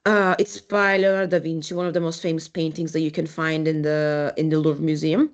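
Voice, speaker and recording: A young adult woman from Turkey, giving a university lecture as an architect, speaking in a halting, conversational way with frequent restarts. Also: sits low, level tone, dull audio. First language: Turkish.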